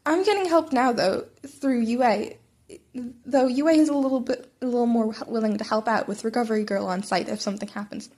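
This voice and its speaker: A woman's voice: fairly high pitch, brisk, darkish tone. A woman voicing a nervous, geeky teenage boy hero student in a story, hesitant and rambling.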